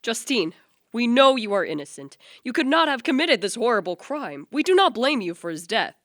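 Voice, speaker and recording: A woman's voice: fairly high pitch, very animated, moderate pace, a bit bright, dry recording, studio quality. A woman playing an anguished, guilt-ridden young man in a radio play, earnest and formally dramatic. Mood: troubled.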